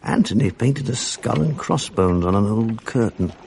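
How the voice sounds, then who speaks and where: An actor playing a wistful man who narrates memories of his boyhood in a measured, reflective radio drama style. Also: on the low side, lively intonation, creaky, a little mellow. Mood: nostalgic. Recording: noisy archive radio drama recording.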